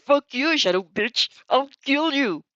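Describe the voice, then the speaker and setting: Woman putting on an explosive shouting teenage boy. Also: sits high, lively intonation, a little slow, no echo, muffled recording, pitched above the performer's own voice, heavily acted. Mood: furious. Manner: shouting, aggressive. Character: explosive, foul-mouthed hot-headed teenage boy.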